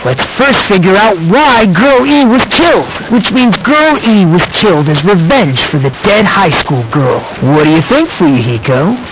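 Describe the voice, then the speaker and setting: A man's voice: high voice, big pitch swings, measured pace, few pauses. A man voicing a polite, musing teenage boy in an anime video game, unsettling and fervently hopeful, on noisy game audio. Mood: calm, musing.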